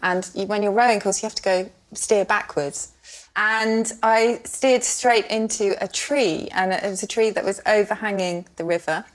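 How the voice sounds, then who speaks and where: A woman's voice: medium pitch, steady tone, normal speed, poor audio. A woman with a mid-range voice tells a personal story as a panel show guest. She speaks as a guest in a studio comedy setting. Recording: studio with live audience.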